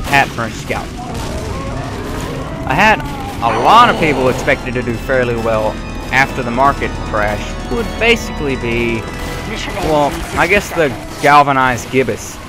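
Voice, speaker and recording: A young man giving rambling, chatty commentary while playing a game, his voice getting hoarse. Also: medium pitch, expressive, moderate pace. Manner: rambling, self-correcting, chatty. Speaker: gaming video host. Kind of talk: casual gameplay commentary. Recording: loud game music behind. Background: game sounds and gunfire.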